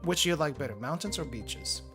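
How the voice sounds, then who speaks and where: A man's voice: fairly high pitch, lively intonation, a little fast, a bit bright. A man hosting a casual gaming chat stream, easygoing and hesitant, often repeating words as he starts a sentence. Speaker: streamer host. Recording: faint game music behind.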